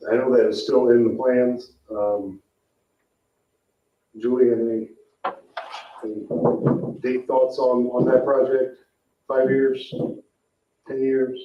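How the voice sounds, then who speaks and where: Slow, flat-toned man, distant and echoey. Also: medium pitch. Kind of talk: informal meeting questions. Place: echoey meeting room, picked up from afar. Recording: roomy, distant, muffled meeting audio.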